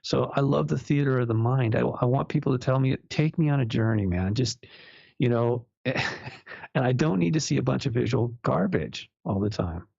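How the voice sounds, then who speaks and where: A gravelly, husky man in his sixties, originally from Los Angeles, talks as a guest on a radio show. He stumbles over his words and restarts, with laughs often slipping in. The line sounds dull and dry. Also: mid-range voice, animated, moderate pace, a little mellow, no echo. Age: 60s. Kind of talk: casual radio interview.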